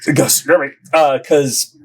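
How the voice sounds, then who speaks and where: A man's voice: a little high, fairly even intonation, takes it slowly. A man from Southern California, a podcast guest telling stories in a casual, rambling way, full of filler likes. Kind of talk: casual storytelling.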